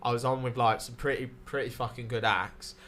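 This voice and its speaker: Welsh-born man, southern english accent, bright voice, sweary lively storyteller, comedian on a podcast. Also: medium pitch, brisk, slight background noise. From Wales. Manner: sweary, animated anecdotes with acted-out quotes. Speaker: stand-up comedian guest.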